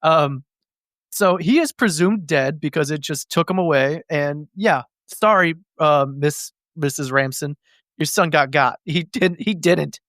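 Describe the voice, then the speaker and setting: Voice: medium pitch, unhurried, fairly bright tone, no echo, studio quality. A podcast host recapping things in a casual, comedic way, with slangy, profane, jokey storytelling.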